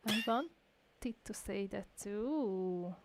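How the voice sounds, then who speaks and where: A woman's voice: medium pitch, extremely slow, slight background noise. A woman giving casual spoken reactions as she plays a video game. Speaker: gaming reaction streamer. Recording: game music behind.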